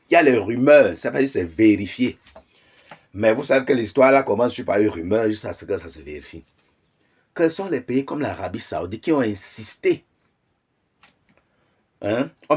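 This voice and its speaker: A man's voice: on the low side, husky. A man giving casual commentary, explaining his point with rhetorical questions and frequent chuckles.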